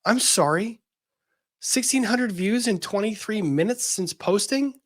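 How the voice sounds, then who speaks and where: A man's voice: high-pitched, animated, a little slow. A man hosting casual legal commentary in a blunt, exasperated, sometimes profane way.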